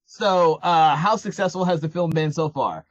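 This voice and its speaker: A man's voice: fairly high pitch, normal speed, no room sound, muffled recording. A man interviewing someone casually, stammering and repeating words as he works toward his questions.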